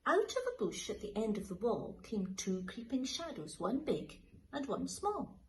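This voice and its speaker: Slow, lively teacher reading a picture book. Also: female voice, a little high, a little hiss or hum. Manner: slow, lilting, expressive, dropping to whispers. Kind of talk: storybook read-aloud for children.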